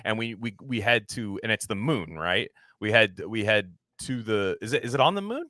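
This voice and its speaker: A man co-hosting a podcast, talking casually about film in a halting, rambling way and often slipping into imagined dialogue. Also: lowish voice, lively intonation, bright, forceful tone, no echo, clean studio sound. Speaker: podcast co-host.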